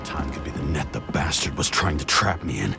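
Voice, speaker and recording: An actor playing a bitter, cynical noir detective in a video game, gruff and profane. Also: male voice, rumbling low, expressive, brisk, full character voice. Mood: grim. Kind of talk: noir game acting and narration. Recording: loud music over the voice.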